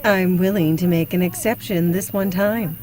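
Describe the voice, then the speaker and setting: A woman playing a measured, analytical psychotherapist in an audio drama. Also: on the low side, lightly acted. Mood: casual, teasing. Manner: calm, probing, analytical. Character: measured, probing female psychotherapist.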